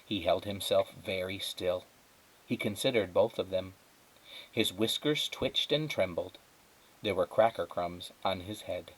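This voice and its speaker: A man reading a children's story aloud as a teacher, in expressive narration. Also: low voice, unhurried, a little hiss or hum, poor audio.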